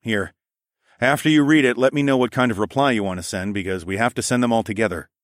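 A man's voice: mid-range voice, quick pace, no echo, studio quality, lightly acted. A man playing an easygoing, wry husband and adventurer in casual audiobook dialogue. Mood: explaining.

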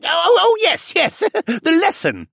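A man's voice: high voice, huge pitch swings, moderate pace, vocal fry, fairly bright tone, slightly rough, no echo, studio quality, pitched above the performer's own voice, full character voice. A man voicing a tipsy old male koala butler with a slightly slushy, theatrical way of reciting. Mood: excited.

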